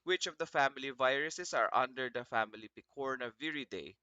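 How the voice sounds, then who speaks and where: A man's voice: medium pitch, brisk, pushed and bright, dry recording, dull audio. A man with a Filipino accent giving an informal online lecture to students, encouraging them, repeating himself and asking questions, his voice slightly froggy. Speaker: virology lecturer.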